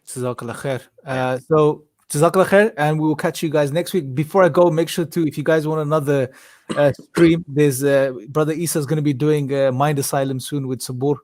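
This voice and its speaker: A man's voice: on the high side, fairly even intonation, fairly quick, darkish tone, no room sound, clean studio sound. A man hosting a live stream, thanking his guests and announcing the next episode in a polite, friendly way. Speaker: host.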